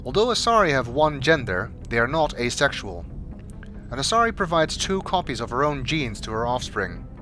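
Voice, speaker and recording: A man reads scripted reference entries aloud as a narrator, in a measured, expository manner. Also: mid-range voice, big pitch swings, sharp tone. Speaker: video maker narrating game codex entries. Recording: music behind the voice. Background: clear background music.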